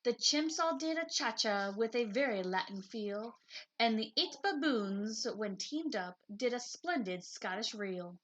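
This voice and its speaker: Animated, high-pitched woman reading a picture book. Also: measured pace. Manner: animated, dramatic emphasis. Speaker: picture book read-aloud host. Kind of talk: expressive children's story narration.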